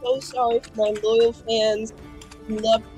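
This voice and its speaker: A woman's voice: fairly high pitch, little pitch movement, measured pace, music in the background. A woman chatting casually and playfully as a player on a livestreamed tabletop game. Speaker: player on a tabletop roleplaying show.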